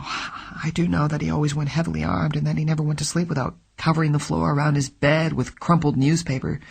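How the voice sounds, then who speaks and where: Man putting on a scared young woman, raised high, breathy, trembling, husky edge. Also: a little mellow. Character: frightened secretive young woman in trouble.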